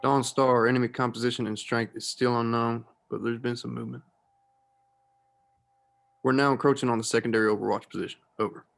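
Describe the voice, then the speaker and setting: Man playing a wry, mid-pitched army sergeant. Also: fairly even intonation, brisk, creaky, natural voice. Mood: businesslike. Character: wry, needling army master sergeant on a mission. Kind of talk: screenplay table read.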